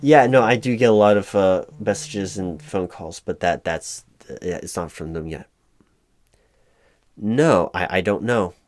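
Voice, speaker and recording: A man playing a flustered, hapless corporate recruiting manager in a one-sided spoken roleplay, hesitant and stammering, with an awkwardly polite, monotonous delivery. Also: low voice, measured pace. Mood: flustered, clueless. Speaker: job interviewer.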